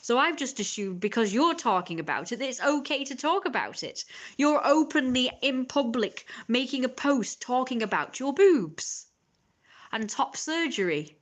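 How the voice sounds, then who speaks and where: Woman ranting fast on a live stream. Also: high-pitched, big pitch swings. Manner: exasperated, fast ranting with mocking quoted asides. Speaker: livestreamer.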